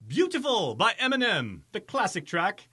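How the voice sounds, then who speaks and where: A man's voice: sits high, wildly swooping pitch, normal speed, background noise. A man presenting in a mock-formal, grand scripted announcing manner. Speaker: presenter introducing readings.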